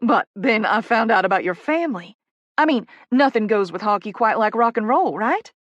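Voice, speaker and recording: A narrator plays an excitable little Southern woman in publicity, from an audiobook novel. Her voice sits high and swings upward with emphatic, breathless gushing. She is bubbly and effusive, with a Southern drawl, recorded close and dry. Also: normal speed, no room sound, lo-fi sound. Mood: gushing, excited.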